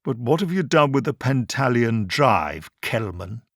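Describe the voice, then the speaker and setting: A man voicing a tall, solemn doctor, a probing sci-fi audiobook hero who speaks with deliberate, accusing emphasis. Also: mid-range voice, very animated, a bit bright, dry recording, clean studio sound, full character voice. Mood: musing.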